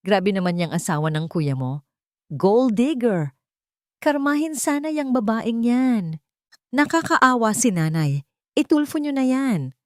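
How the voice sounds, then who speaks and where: A woman's voice: a little high, expressive, unhurried, long pauses, a little mellow, dry recording, clean studio sound, pitched above the performer's own voice. A woman storyteller voicing judgmental gossips, scornful and catty about someone they condemn. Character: judgmental gossiping online commenters and villagers, scornful.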